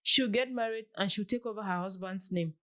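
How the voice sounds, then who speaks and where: A woman's voice: medium pitch, brisk, sharp tone. A woman in her late twenties from Cameroon, a guest giving earnest, casual interview answers and acting out little quoted examples as she explains. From southwest Cameroon. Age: late 20s.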